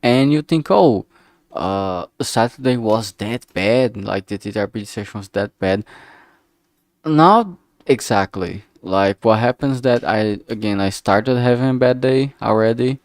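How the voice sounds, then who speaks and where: A man with a lowish, gravelly voice talks casually to a livestream while he works. He rambles and thinks aloud in halting bits, taking his time. The sound is close and dry. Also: dry recording. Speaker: livestreamer making a visual novel. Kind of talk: casual work-along livestream chat.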